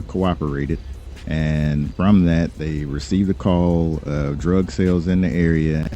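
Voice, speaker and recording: A man playing a composed, sharp lawyer with a quiet crime-boss side in online roleplay, speaking in measured, formal phrases. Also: very deep voice. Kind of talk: improvised in-character online roleplay dialogue.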